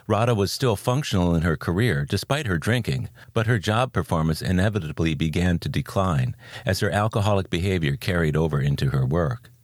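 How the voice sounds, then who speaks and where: A man with a low voice hosts an interview podcast. His delivery moves between scripted narration and conversational questions. The sound is dry and close, with very little room on it. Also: dry recording.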